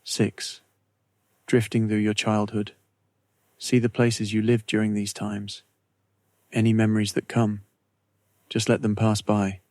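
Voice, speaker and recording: A man narrating a scripted guided meditation in a slow, measured, soothing way with long pauses. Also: on the low side, monotone, a little mellow, no room sound.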